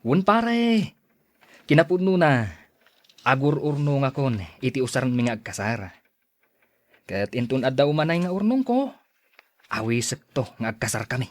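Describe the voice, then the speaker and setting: Man acting lovestruck young Ilocano suitor, lively pitch. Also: moderate pace, long pauses, no echo, natural voice. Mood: eager. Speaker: radio drama actor. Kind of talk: melodramatic radio drama acting.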